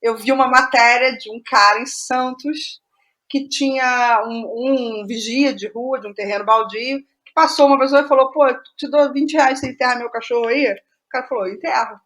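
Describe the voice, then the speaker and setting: A woman with a high voice and a Brazilian accent speaks as a guest in a conversational interview. She is reflective and informal, circling back on her own phrases as she explains how she works. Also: steady tone, moderate pace, fairly bright tone. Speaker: playwright guest. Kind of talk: conversational interview about creative process.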